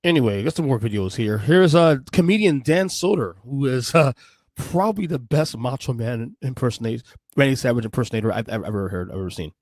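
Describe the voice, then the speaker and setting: A man's voice: medium pitch, animated, brisk, darkish tone, no echo, studio quality. Rambling, emphatic man in his forties. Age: 40s. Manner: rambling, emphatic, repeats himself, curses. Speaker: podcast host. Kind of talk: casual commentary on video clips.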